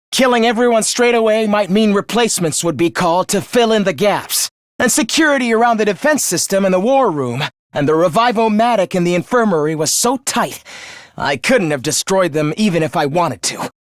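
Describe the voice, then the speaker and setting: A young male video game traitor with a voice that swings widely in pitch. He is gloating, contemptuous and genocidal, delivering his villainy with theatrical menace. The sound is dry and close. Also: no echo. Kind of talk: video game voice acting, villain confession monologue.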